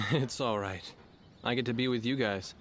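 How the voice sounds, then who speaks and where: An actor playing an earnest, inquisitive man among military pilots, speaking in a measured way for a video game story. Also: medium pitch, slight background noise, lightly acted. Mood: curious, easygoing. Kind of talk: game narration and cutscene dialogue.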